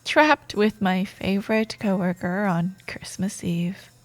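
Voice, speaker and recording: A woman playing a proud, teasing yet wistful rival coworker in an intimate ASMR romance roleplay monologue, with playful banter, sighs and soft pauses. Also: medium pitch, steady tone, dry recording, natural voice. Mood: teasing, softening. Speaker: audio roleplay performer.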